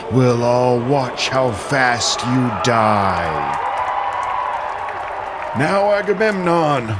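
An actor playing a stern old Greek horseman and war counselor in an audio drama, admonishing the men in commanding, declamatory speech. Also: mid-range voice. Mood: menacing. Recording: clear ambient noise behind voice.

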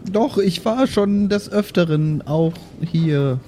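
A self-assured lady adventurer, voiced by a man. The voice is mellow, fairly high and unhurried, polite and assured, in a fantasy role-play. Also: lively intonation, a little hiss or hum.

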